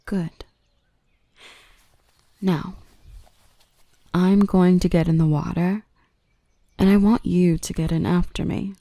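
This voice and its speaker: A very unhurried, tender dark elf woman, played by a female voice actor in an ASMR roleplay. Her voice is fairly low with a creaky edge, soothing and often near a whisper. She is gently in charge, nurturing and reassuring. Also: fairly even intonation, dry recording. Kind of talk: intimate ASMR roleplay acting.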